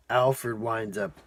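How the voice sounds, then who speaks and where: A man's voice: mid-range voice, creaky. A man casually reviewing and recapping a cartoon episode in a rambling, informal, amused way.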